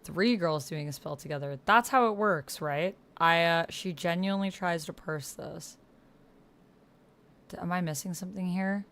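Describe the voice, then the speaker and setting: A woman's voice: lowish voice, moderate pace, a bit bright. A woman talking casually through an online text roleplaying game, thinking aloud in fragments and reading out what she types.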